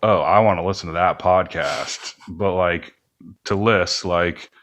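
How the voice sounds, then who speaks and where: A man with a low voice speaks as a guest in a casual interview. He rambles a little and hedges his points, sounding self-effacing as he explains things. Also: a little slow, fairly bright tone. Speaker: podcast guest, band musician and studio owner.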